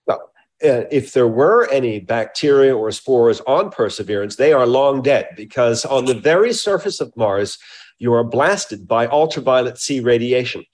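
A man's voice: mid-range voice, expressive, moderate pace. A man speaking as an expert scientist in an interview, explaining technical matters in a precise, emphatic way.

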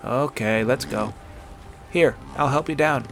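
A man voicing a cautious young boy who speaks in a hushed, tentative way. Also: mid-range voice, unhurried, vocal fry, faint music behind, voice pushed up. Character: young boy, cautious, tender yet impatient.

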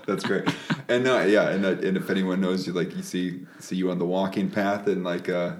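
A man's voice: low voice, fairly even intonation, normal speed, noisy background. A man hosting a podcast in a casual, conversational way, with informal, enthusiastic affirmations. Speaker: podcast host.

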